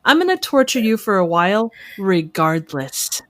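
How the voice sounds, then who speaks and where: A woman playing a cool, sadistic psychopathic gangster in an audio drama, deadpan and taunting. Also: mid-range voice, moderate pace, lightly acted. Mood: menacing. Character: cool, sadistic female psychopathic gangster who enjoys torture.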